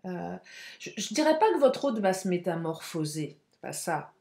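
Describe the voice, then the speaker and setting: Stuffy-nosed, hoarse woman reading tarot cards. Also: fairly low pitch, very animated, darkish tone, reverberant. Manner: speaks through the nose, halting with many fillers. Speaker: tarot card reader.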